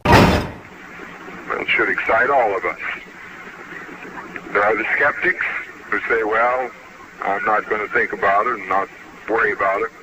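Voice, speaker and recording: A man's voice: on the low side, steady tone, unhurried, long pauses. A man preaching in an emphatic, exhorting sermon style. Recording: muffled, very noisy archival tape.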